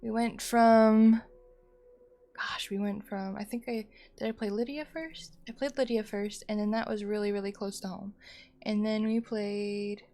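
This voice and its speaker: A woman live streamer chatting casually to viewers in an expressive, rambling, playful way, sounding a little sleepy. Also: mid-range voice, measured pace. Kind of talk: casual chatty livestream sign-off. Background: music.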